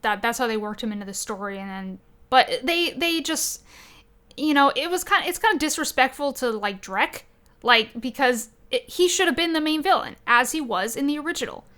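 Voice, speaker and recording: A woman talks casually as a podcast host, in a distinctly bright voice pitched a little high. Her delivery is informal and halting, and she often restarts to correct herself. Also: expressive. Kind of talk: casual chat about games and movies.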